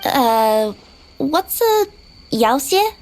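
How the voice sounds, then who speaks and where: A woman's voice: sits high, big pitch swings, extremely slow, darkish tone, a little hiss or hum, full character voice, rough recording. A woman voicing a friendly, drowsy young female cat yokai in a video game, with a hesitant, polite, youthful delivery. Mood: hesitant, helpful.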